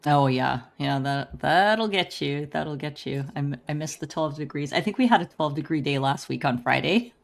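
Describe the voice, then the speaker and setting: A woman with a somewhat low voice hosts a podcast interview. She is bubbly and upbeat, and she laughs easily between her questions. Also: moderate pace, gravelly, few pauses. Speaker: podcast host.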